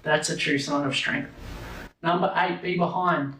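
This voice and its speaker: Man giving a slow motivational talk. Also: on the high side, fairly even intonation, bright, forceful tone, a little hiss or hum. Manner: slow, deliberate, counts off numbered points. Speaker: speaker. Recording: echoey room from a distance.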